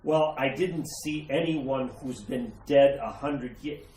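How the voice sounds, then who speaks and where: A man's voice: medium pitch, slow, darkish tone, slight background noise, natural voice. A man playing a polite, puzzled older judge in retro radio drama style, hesitant, stammering and folksy. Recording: echoey, roomy recording.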